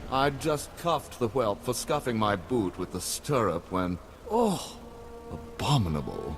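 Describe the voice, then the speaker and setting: An actor playing a pompous, snobbish noble uncle in a video game, haughty, disdainful and formal. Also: male voice, fairly low pitch, big pitch swings, a little slow, slight background noise. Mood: disdainful boasting. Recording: background music under the voice.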